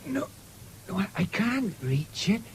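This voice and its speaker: A woman's voice: medium pitch, very animated, unhurried. A woman playing a shy, faltering adolescent farm boy in a radio drama. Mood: awkward. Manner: hesitant, faltering. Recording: noisy 1970s radio recording.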